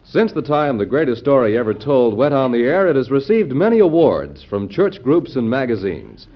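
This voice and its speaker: A man's voice: medium pitch, big pitch swings, moderate pace. A man with an American accent reading scripted promotional announcements in a polished, emphatic 1940s network radio style. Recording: dull old radio broadcast, music behind. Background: music.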